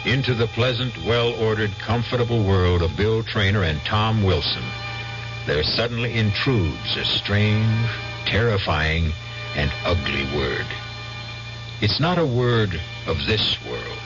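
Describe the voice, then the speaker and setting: An actor as the grave, ominous narrator of an old-time radio drama, theatrical and measured. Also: male voice, fairly low pitch, expressive, music in the background. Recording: dull lo-fi radio drama recording.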